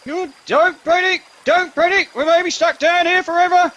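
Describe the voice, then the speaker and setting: A man playing a panicky, excitable old corporal in a comic radio play. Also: very high-pitched, moderate pace, highs cut off. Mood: panicking.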